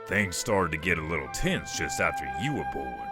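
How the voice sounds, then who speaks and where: Casting: man as old walrus convict for a comic dub, low, lively pitch, gruff, slangy, crotchety. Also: normal speed, loud music behind the voice. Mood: nostalgic.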